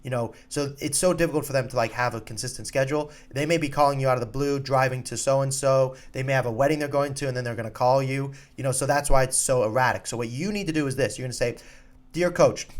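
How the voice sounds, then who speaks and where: A man's voice: medium pitch, steady tone, fast. A man with a mid-range voice talks like a coach hosting an advice show. His delivery is informal and direct. He puts emphasis on important points and repeats them so they land. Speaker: college soccer recruiting coach and podcast host. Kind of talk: informal advice talk to students and parents.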